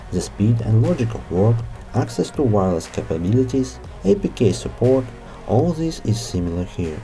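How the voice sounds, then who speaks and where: A man hosts a scripted gear review in a notably dark, soft-edged voice. His pitch sits a little low but moves in animated swings. The wording is formal and careful, with faint music behind him. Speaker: host of an audio gear review show.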